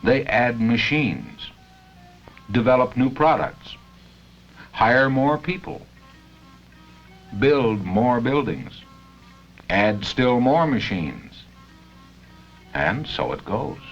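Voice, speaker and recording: An American man reading scripted narration for a 1970 company training film, with measured, deliberate phrasing and pauses. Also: mid-range voice, sing-song, faint music behind. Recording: dull 1970 film soundtrack.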